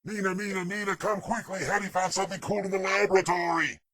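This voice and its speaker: A man's voice: high voice, level tone, fast, a crowd in the background, voice pushed up, close to an everyday voice. A man voicing a big werewolf with the mind of a child, who gushes breathlessly and repeats himself. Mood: excited.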